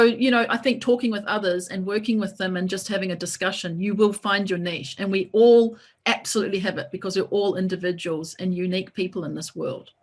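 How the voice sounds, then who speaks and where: Chatty encouraging New woman. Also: mid-range voice. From New Zealand. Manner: encouraging, talkative, leans on "right?". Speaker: lawyer and coach. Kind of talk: casual webinar talk.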